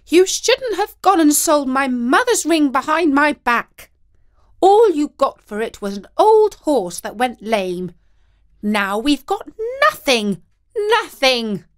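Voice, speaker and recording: A woman voicing a starving, distressed housewife shouting in a high-pitched voice in a storybook reading. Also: animated, slow, few pauses, full character voice.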